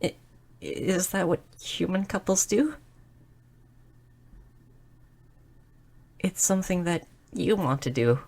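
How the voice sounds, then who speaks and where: A woman's voice: fairly low pitch, expressive, normal speed, creaky, a little mellow, slightly rough, full character voice. A woman playing a shy, clumsy, sweet young goblin girl who stammers earnestly in an intimate romantic audio roleplay. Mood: apologetic, unsure. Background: music.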